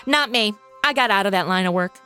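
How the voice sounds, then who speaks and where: A woman's voice: medium pitch, lively intonation, a little fast, sharp tone, faint music behind. A woman with an American accent playing a brash, sarcastic, slangy modern young sidekick in a comedy audio drama. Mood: skeptical.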